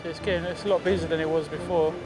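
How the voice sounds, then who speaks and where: A man's voice: on the high side, little pitch movement, very fast, over music. A man working as a police officer, chatting bluntly and jokily on patrol. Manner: jokey, blunt. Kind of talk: casual chat on patrol.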